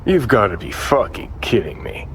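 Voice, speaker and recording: A man's voice: lowish voice, huge pitch swings, moderate pace, slight background noise. A man playing a cynical, sardonic rebel companion in a video game cutscene, impatient and world-weary. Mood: cynical, grim.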